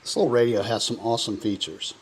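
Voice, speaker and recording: A man's voice: fairly low pitch, steady tone, fast talker, breathy edge, low-quality recording. An American man giving a casual demonstration as a product reviewer. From coastal North Carolina, US. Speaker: product reviewer presenting to camera.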